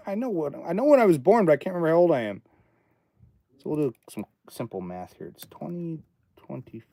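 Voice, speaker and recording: A man's voice: mid-range voice, warm tone. A man in his late thirties chatting casually on a livestream from his home studio, thinking aloud and rambling between topics. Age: 30s. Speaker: livestream host, studio owner.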